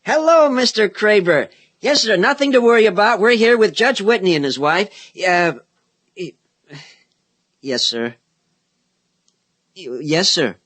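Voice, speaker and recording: A man's voice: high-pitched, lively intonation, measured pace, pauses often, a bit bright, exaggerated voice. An actor in a radio drama playing an eager, chatty young man, boyish, polite and rambling. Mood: nervously polite. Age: young adult. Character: eager, chatty young male Senate page.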